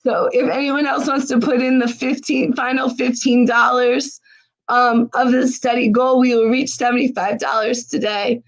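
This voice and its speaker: A woman's voice: on the high side, little pitch movement, normal speed. A woman hosting a livestream fundraiser, losing her voice, urging viewers with urgent, exhorting talk. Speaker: livestream host.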